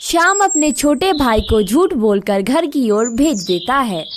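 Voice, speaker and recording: A woman's voice: fairly high pitch, lively intonation, unhurried, a little hiss or hum. A woman narrating a village cartoon story in a scripted storytelling manner.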